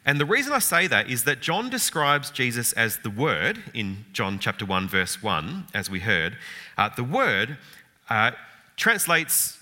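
Animated, sharp-toned man teaching in a hall. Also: mid-range voice, unhurried. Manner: thoughtful, frequent "uh" fillers and restarts, rhetorical questions. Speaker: Bible teacher. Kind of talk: informal Bible lecture. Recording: long hall reverb.

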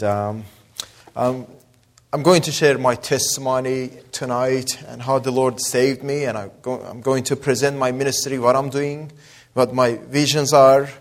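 A man with a mix of Azeri, Persian and Australian accent, preaching as a guest with scripture reading and prayer, pausing with fillers and rising to emphatic delivery. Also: mid-range voice. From Azerbaijani-Iranian background. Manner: builds from soft opening to raised emphatic preaching; hesitant fillers between phrases.

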